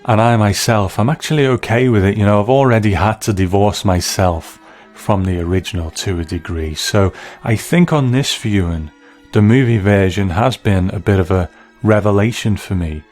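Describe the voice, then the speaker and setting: A man hosting a show, giving measured, reflective commentary as he reviews a piece. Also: fairly low pitch, darkish tone. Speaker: podcast host. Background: music.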